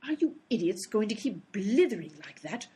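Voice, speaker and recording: A woman's voice: mid-range voice, big pitch swings, normal speed, warm tone, husky. A woman playing an exasperated female character in a comic detective radio play. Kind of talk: comedy radio play acting.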